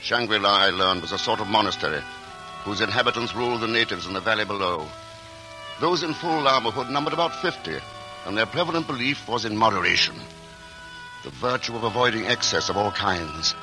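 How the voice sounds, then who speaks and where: A composed, reflective traveler. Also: male voice, fairly low pitch, expressive, poor audio. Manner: measured, reflective narration. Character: composed male traveler narrating his adventure. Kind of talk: 1940s radio acting. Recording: old radio broadcast with music.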